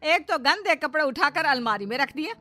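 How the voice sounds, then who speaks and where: Woman acting a high-pitched nagging older mother-in-law. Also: animated, normal speed, pushed and bright, faint music behind. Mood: indignant, scolding. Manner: scolding, complaining. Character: nagging, suspicious older mother-in-law, quick to take offence. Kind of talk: Hindi cartoon moral-story acting.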